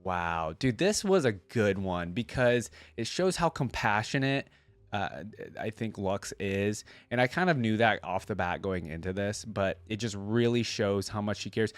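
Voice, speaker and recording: Low-voiced man, casual reaction host. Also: lively intonation, a little slow, clear, resonant tone, no room sound. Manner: informal, conversational. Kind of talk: casual commentary and story read-aloud.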